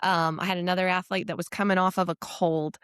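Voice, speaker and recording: A woman, a running coach, speaking on a podcast in a relaxed, conversational way. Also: lowish voice, fairly quick, clean tone, dry recording, studio quality. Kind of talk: casual podcast discussion.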